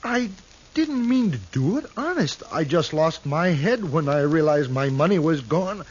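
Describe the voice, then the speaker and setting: An actor playing a simple, timid cowhand in a 1950s radio western, naive and halting. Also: male voice, a little high, big pitch swings, slightly rough, exaggerated voice. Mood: frightened pleading. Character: simple, timid, childlike male cowhand in trouble. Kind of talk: 1950s radio drama acting. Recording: dull, hissy old radio recording.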